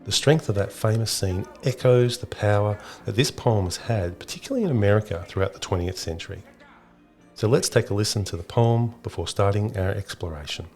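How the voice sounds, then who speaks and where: A man's voice: lowish voice, brisk, a little mellow, soft background music. A man hosting a podcast, reading scripted educational narration. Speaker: podcast host.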